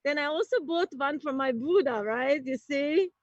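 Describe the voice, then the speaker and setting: A woman from Croatia doing a playful, chatty stand-up comedy set, with bursts of excitement. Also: sits high, moderate pace, dry recording. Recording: dull lo-fi stream audio.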